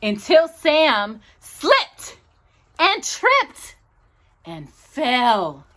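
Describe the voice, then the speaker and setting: A woman reading a children's storybook aloud as a storytime host, in an expressive, drawn-out, playful manner. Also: high-pitched. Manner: animated, drawn-out delivery with playful asides to a child.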